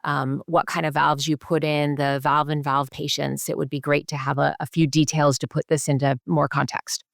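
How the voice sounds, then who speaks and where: A woman's voice: low-pitched, little pitch movement, normal speed, creaky, dry recording, clean studio sound. A woman, a physician hosting a podcast interview, speaking in an engaged, affirming and conversational way.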